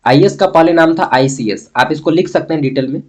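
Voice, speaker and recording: A man with a Jharkhand Hindi accent and a slightly high voice gives an informal lesson. He talks straight to his students in everyday colloquial speech. He often poses a question and then answers it himself. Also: a little fast, darkish tone. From Jharkhand, India. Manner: colloquial, chatty, addresses students directly. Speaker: history teacher for college students. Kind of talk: informal exam-prep lesson.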